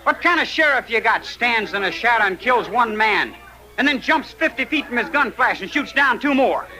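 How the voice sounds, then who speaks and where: An angry trail cowboy throws blunt accusations in a radio western. His voice is very high and hard-edged, indignant all the way through. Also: lively intonation, moderate pace, bright, forceful tone, faint music behind, heavily acted. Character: indignant, hot-headed male Texas cowboy trail boss. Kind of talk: 1950s radio western acting.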